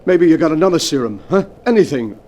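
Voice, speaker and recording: A stammering, panicky gangster , played by a man in a 1940s radio drama. The voice sits a touch high. A faint hiss runs underneath. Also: expressive, quick pace, darkish tone, highs cut off, close to an everyday voice. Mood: desperate. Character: desperate tormented male gangster.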